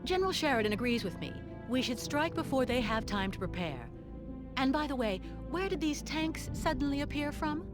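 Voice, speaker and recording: A woman's voice: high voice, sing-song, normal speed. A woman playing a bold, confident military liaison officer in a video game, assertive and direct. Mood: challenging. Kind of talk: video game briefing dialogue. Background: game music.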